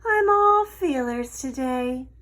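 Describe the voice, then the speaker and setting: A woman's voice: high voice, expressive, slow pace, a bit bright, pitched above the performer's own voice, full character voice. A woman voicing a young lady cockroach in a storybook, cool, witty and dryly polite. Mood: mock sweet. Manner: dry, coolly polite, mock-sweet. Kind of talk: storybook character voice.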